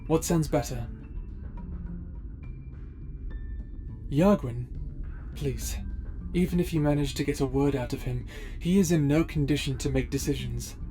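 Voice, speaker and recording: A man playing a stern, guilt-ridden space pirate officer in an audio drama, with measured, formal speech. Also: mid-range voice, natural voice. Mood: firm, reserved. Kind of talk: audio roleplay drama acting. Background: background music.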